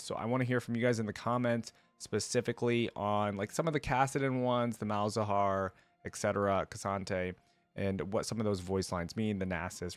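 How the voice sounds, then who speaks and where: A man's voice: lowish voice, no echo. A man hosting a reaction video, commenting casually and speaking to viewers.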